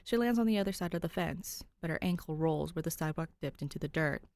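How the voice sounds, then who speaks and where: A woman reads a scripted story aloud as its narrator. Her voice sits a little low for a woman. The sound is very dry, without audible room echo. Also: fast talker, a little mellow. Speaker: podfic narrator.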